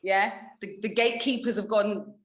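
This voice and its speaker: A woman's voice: medium pitch, moderate pace, reverberant. A young woman from England, a radio presenter, chatting candidly and telling stories with plenty of swearing. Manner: candid, animated storytelling with swearing and laughter. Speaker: radio presenter, podcast guest. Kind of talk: casual chat.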